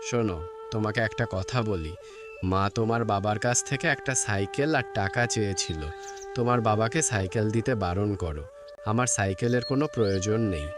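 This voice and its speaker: A man's voice: on the low side, a little fast, music in the background, lightly acted. A man voicing a bossy, greedy young village husband in a cartoon drama. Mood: calling, plain. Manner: bossy, scolding.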